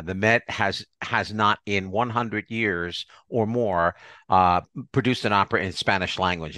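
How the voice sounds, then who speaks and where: Low, bright-voiced man hosting. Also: no room sound. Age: older. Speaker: podcast host. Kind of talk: conversational arts interview.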